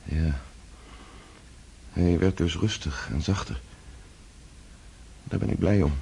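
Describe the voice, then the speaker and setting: An actor playing Pierre, an earnest young count in a radio play, who speaks in a searching, low-key way. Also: male voice, takes it slowly, gravelly, mellow, slight background noise, heavily acted.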